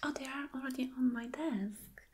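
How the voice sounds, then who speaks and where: A woman whispering softly in an ASMR roleplay as a flirty, attentive boss, gentle and reassuring. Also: high-pitched, normal speed, a little hiss or hum. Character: flirty, attentive female boss soothing an employee. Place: small dim room indoors.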